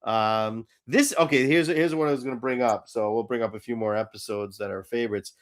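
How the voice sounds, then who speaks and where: A man's voice: medium pitch, fairly quick. A man hosting a live fan show, chatting casually about cartoons in a blunt, irreverent way with some swearing.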